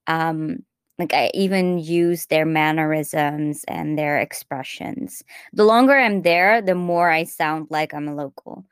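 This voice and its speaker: Smooth-voiced woman with an American-tinged accent. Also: deep voice, unhurried, dry recording, studio quality. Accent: American-influenced English. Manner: chatty, tangential, frequent restarts and fillers. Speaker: podcast co-host. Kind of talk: casual podcast chat.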